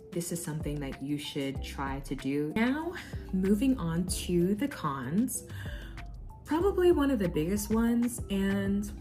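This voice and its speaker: A young woman with a low voice shares advice in an earnest, chatty vlog style, with a few fillers along the way. Clear background music plays under her voice. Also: dark tone. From United States. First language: English. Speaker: vlogger.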